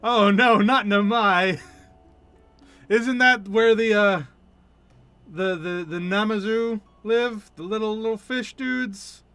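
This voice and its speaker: A man's voice: sits high, slow pace, pushed and bright, clean tone. A man streaming a game, chatting casually as he comments and reads dialogue, with game music behind him.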